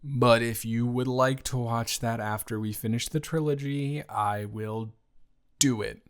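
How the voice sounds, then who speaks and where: A young American man improvising a casual roleplay chat, talking emphatically and haltingly, repeating words for stress. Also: mid-range voice, steady tone, clean tone. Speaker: audio roleplay performer.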